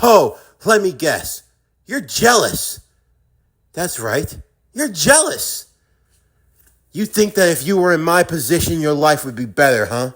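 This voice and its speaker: A man's voice: a little high, sing-song, unhurried, pauses often, fairly bright tone, exaggerated voice. A man playing a defiant, bitterly sarcastic young man in an intense anime-style drama, with a profane, taunting, emphatic delivery.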